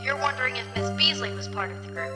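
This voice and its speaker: A woman's voice: on the high side, expressive, fast talker, lightly acted. A woman playing a businesslike female agency analyst with a formal, precise, dry delivery in an audio drama. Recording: long hall reverb under loud music. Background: music.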